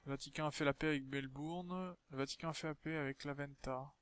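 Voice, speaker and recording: A man's voice: on the high side, fairly even intonation, a little fast, a bit bright, slight background noise. A man with a southern French accent, hosting a casual multiplayer game commentary with frequent hesitations. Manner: hesitant, many filler sounds. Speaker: let's play host.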